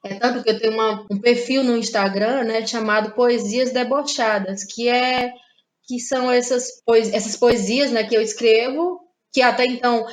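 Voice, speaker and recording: A woman with a Cearense accent from northeastern Brazil talks in a fairly high voice. She is a playwright and actress being interviewed, reflective and conversational, and she often ends phrases with a little tag seeking agreement. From Ceará, northeastern Brazil.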